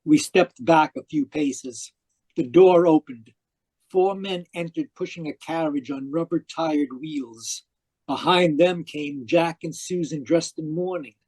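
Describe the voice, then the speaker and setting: Performer as a distraught dead spiritualist, soft dark tone, earnest, dramatic, radio play, dry recording. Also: mid-range voice, measured pace, breathy edge, no echo, rough recording. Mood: haunted narration. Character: distraught, newly dead spiritualist narrating the tale. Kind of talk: old-time radio mystery acting and narration.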